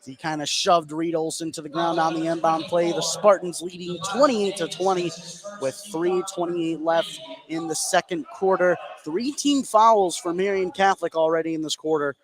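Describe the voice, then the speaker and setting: A man doing excitable live play-by-play of high school basketball in short running phrases. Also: moderate pace, crowd noise, low-quality recording. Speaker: play-by-play sports commentator.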